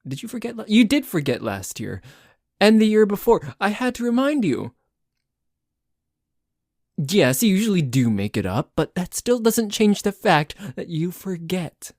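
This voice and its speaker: A man's voice: sits high, sing-song, measured pace, no room sound, clean studio sound, full character voice. A man playing a possessive, demanding yet playful yandere boyfriend in an intimate roleplay monologue, with theatrical delivery. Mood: controlled menace, jealous. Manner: theatrical, swinging between gushing and soft menace.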